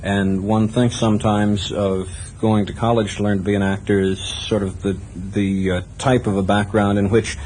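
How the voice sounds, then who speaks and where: A man with a very deep voice and an American accent puts questions as a radio interviewer. His delivery is measured and formal, and the old tape carries a faint hiss. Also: level tone, darkish tone.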